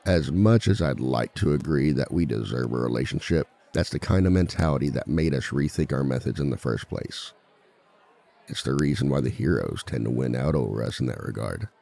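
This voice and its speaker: Very deep, gravelly villain lecturer, acted by a man. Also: lively intonation, mellow, heavily acted. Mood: patient, dry. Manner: measured, polite, dryly authoritative. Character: composed, dry-witted male villain teaching a relationship seminar. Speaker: seminar instructor. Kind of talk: scripted ASMR roleplay monologue.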